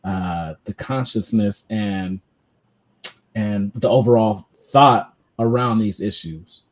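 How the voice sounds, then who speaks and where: A man's voice: fairly low pitch, slow. A man co-hosting a podcast, talking in a loose, rambling way with many fillers. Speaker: podcast co-host. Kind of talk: casual chat.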